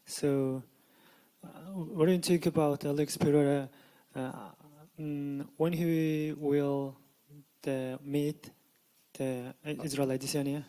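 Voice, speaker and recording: A man's voice: fairly high pitch, fairly even intonation, slow, mellow, clean tone, poor audio. A man asking interview questions in non-native English, hesitant and full of "uh" fillers.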